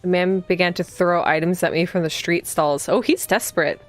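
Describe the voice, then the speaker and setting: A woman with a slightly low voice streams a story game. She moves between reading the text aloud and casual commentary, thinking out loud as she goes. Soft music plays behind her voice. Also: fairly even intonation, moderate pace. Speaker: streamer playing a visual novel. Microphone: streaming microphone.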